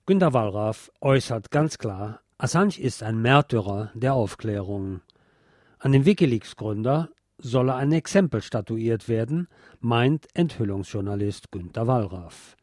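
A man's voice: mid-range voice, lively intonation, unhurried, darkish tone, dry recording, clean studio sound. A man hosting a radio show, presenting and reading a scripted monologue.

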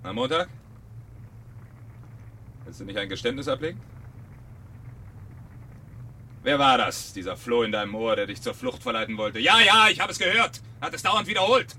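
An actor playing a taunting, theatrical and menacing fire chief in a German radio play from 1970. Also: male voice, mid-range voice, big pitch swings, fairly quick, pushed and bright, a little hiss or hum. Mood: sneering interrogation. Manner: mocking, needling, theatrical.

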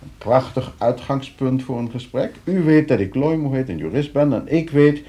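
A smug, scheming lawyer in a radio drama, played by a man. His Netherlands Dutch is suave and condescending, swinging widely in pitch as he repeats himself with false hospitality. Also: expressive, normal speed, warm tone, lightly acted. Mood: needling, smooth. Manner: suave, hesitant repetitions, condescending. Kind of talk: radio play acting.

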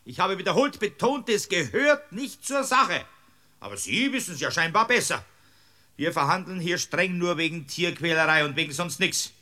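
A man's voice: high-pitched, expressive, moderate pace, bright, forceful tone. A man with a Bavarian accent playing a pompous, prudish judge who scolds in a comic radio drama. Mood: outraged. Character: flustered, prudish, stern male Bavarian courtroom judge.

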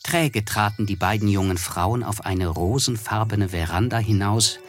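An actor playing a reflective, observant man who narrates his memories in a measured, wry way for a German radio play. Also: on the low side, faint music behind, lightly acted. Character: reflective, observant male narrator recalling an evening.

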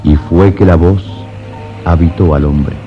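An actor narrating a romantic 1950s radio drama in a grave, poetic, theatrical manner. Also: male voice, deep voice, fairly even intonation. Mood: brooding, lyrical. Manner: theatrical, measured, declamatory. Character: solemn male narrator of a romantic radio play. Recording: muffled 1950s radio recording, loud music. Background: music.

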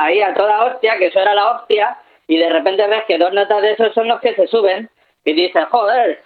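A man's voice: high-pitched, lightly acted. A man playing a foul-mouthed, rambling punk fan interviewed over the phone in a comic radio sketch. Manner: swears constantly, rambling. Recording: tinny phone line.

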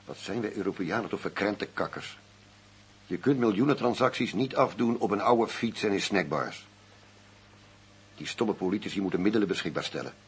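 An actor playing a blunt, world-weary middle-aged undercover detective in a radio drama. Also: male voice, lowish voice. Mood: indignant. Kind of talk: Dutch radio drama acting. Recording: radio play with clear background hum.

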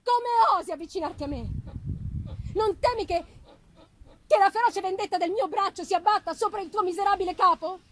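A woman as an enraged, high-pitched gothic creator. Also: a little fast, slight background noise. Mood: furious, anguished. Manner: loud, vehement, exclaiming. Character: enraged, horrified male creator cursing his monster. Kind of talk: dramatic audiobook-style reading of a gothic novel.

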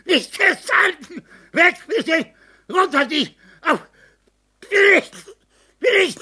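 A woman's voice: on the high side, a bit bright, breathy edge, exaggerated voice. An actress playing a delirious, terrified woman who is suffocating in a fever, gasping out broken, pleading phrases over and over. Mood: frantic. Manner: pleading, panicked, repeating words. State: out of breath. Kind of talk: dramatic acting.

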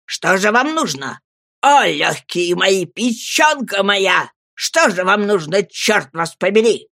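A woman's voice: medium pitch, expressive, takes it slowly, a bit bright, dry recording, studio quality. A woman voicing a ferocious, drunken old male junk dealer who wails and growls gutturally. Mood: ranting, wailing.

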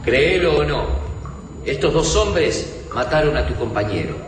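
An actor with a Rioplatense Argentine accent playing a defiant, cunning resistance fighter in a radio drama, insistent and challenging. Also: male voice, a little high, expressive, a little slow, background noise. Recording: echoey reverb, music behind.

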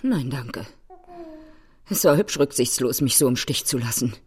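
An actress playing a bitter, domineering older mother-in-law in a radio drama, sneering and theatrical, with a husky voice. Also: rumbling low, animated, unhurried, darkish tone, full character voice. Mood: cold scorn. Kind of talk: German radio drama acting.

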